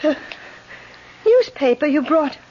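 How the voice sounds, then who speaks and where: A woman's voice: sits high, very animated, slow pace, darkish tone, slight background noise, heavily acted. An actress playing a frightened, nervous widowed landlady who stammers and pleads in an old-time radio mystery. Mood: terrified pleading. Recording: dull lo-fi old radio recording.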